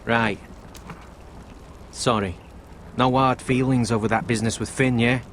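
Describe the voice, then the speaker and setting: An actor playing a chummy, sheepish male recruit in a video game drama, speaking in a low-key, tentative way. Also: steady tone, unhurried, close to an everyday voice. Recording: game audio with faint background noise.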